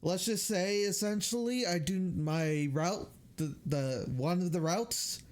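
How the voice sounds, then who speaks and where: Slow, halting young man streaming a game. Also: sits high. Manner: halting, rambling. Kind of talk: casual livestream game commentary. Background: game music.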